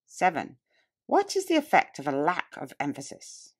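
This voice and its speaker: A woman narrating a nonfiction audiobook as scripted reading, in a deliberate, emphatic, oratorical way. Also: lowish voice, huge pitch swings, slightly rough. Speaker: audiobook reader. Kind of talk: scripted narration of a public-speaking textbook.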